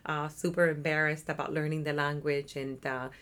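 A woman's voice: deep voice, level tone, moderate pace, fairly bright tone, clear, resonant tone. A woman in her thirties from Cuba with a Cuban Spanish accent, chatting as an interview guest about her nonprofit work and often tagging on "right?". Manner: talkative, upbeat, often adds "right?". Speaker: interview guest, nonprofit community development worker. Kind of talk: casual interview chat. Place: office.

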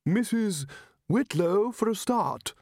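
Man putting on a high, stuffy old wizard. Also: expressive, takes it slowly, vocal fry, darkish tone, dry recording, studio quality, exaggerated voice. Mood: matter-of-fact. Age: older. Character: slow-witted, stuffy elderly male wizard professor.

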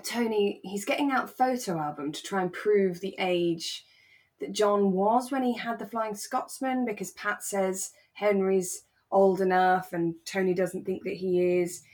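A woman co-hosting a podcast, talking in a relaxed, chatty and reflective way. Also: medium pitch, a little slow. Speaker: podcast co-host. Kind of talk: relaxed casual discussion of a radio drama.

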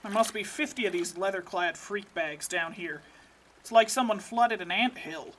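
A woman's voice: mid-range voice, moderate pace, a bit bright, a little hiss or hum, close to an everyday voice, rough recording. A woman voicing a blunt, foul-mouthed male secret agent who gives crude, matter-of-fact reports. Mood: urgent. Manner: blunt, profane field-report delivery.

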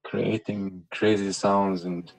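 A man's voice: lowish voice, flat delivery, takes it slowly, gravelly, a little mellow, poor audio. Earnest man. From Palestine. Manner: earnest, thoughtful, many "you know" tags. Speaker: musician guest. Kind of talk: reflective interview answers.

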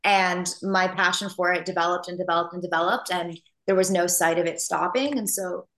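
A young woman in her twenties with an American accent, an actress guest answering in a relaxed, earnest way. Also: fairly low pitch, brisk, rough recording. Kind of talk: casual interview answers.

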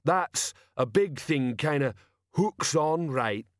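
A big, slow-witted constable from a comic fantasy audiobook, acted out by a man. He talks in halting, musing bursts with a gloomy temper, pushed louder and higher than plain narration. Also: lively intonation, no echo, studio quality. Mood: evasive musing. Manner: halting, dim, musing, trailing pauses.

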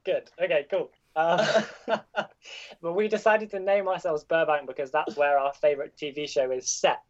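Man, band member guest, playful and eager, slightly high pitch, muffled lo-fi audio. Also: steady tone, normal speed. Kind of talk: casual chat.

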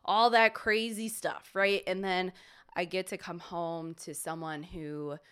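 Chatty young woman, smooth low voice. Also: fairly even intonation, a little slow, fairly bright tone. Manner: chatty, full of fillers and restarts. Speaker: podcast guest. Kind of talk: casual chat.

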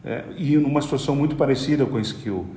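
A man's voice: medium pitch, fast, mellow. Brazilian man telling a long story in a roomy space. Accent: Brazilian Portuguese. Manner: hesitant, repeats words while explaining at length. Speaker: podcast guest, company executive. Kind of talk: conversational business storytelling. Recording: roomy echoey room.

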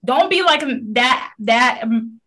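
A woman from Africa speaks with a thick West African accent in a casual discussion. Her voice sits a little high and climbs when she stresses a point. She talks in an animated storytelling way. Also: slow pace. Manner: animated storytelling, rising to emphasis.

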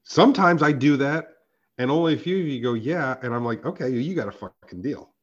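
A man with a raspy, hoarse voice, co-hosting a casual podcast chat, emphatic and quick to laugh. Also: medium pitch, highs cut off. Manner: animated, emphatic, swears and laughs often. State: hoarse from a sore throat. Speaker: podcast co-host.

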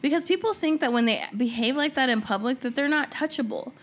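Brief: young woman co-host, outspoken and crude, hits her words hard in relaxed podcast talk. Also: sits high, fairly quick. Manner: blunt, profane, emphatic. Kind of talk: casual podcast chat.

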